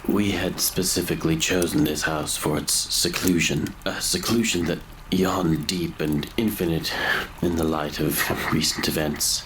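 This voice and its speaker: A man playing a haunted grave robber who narrates a gothic horror audio drama in brooding, literary, measured phrases. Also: very deep voice, creaky, background noise, heavily acted. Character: haunted male grave robber narrating his grim confession.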